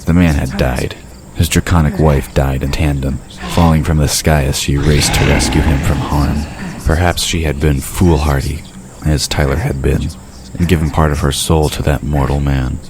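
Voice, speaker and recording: Male narrator, extremely low voice, scripted fantasy storytelling, faint night-insect noise behind. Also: fairly even intonation, moderate pace.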